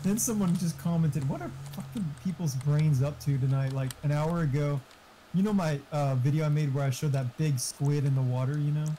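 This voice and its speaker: A man with a fairly high voice shoots the breeze on a gaming voice chat. He is crude and jokey, swearing freely, with faint noise behind him. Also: a little fast, dark tone, poor audio. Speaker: streamer's gaming friend on voice chat. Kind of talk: casual chat.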